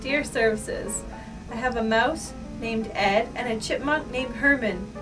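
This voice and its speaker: A woman's voice: fairly high pitch, a little slow. A woman reading a child's letter aloud. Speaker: staff member reading aloud. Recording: distant mic with guitar music. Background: guitar music.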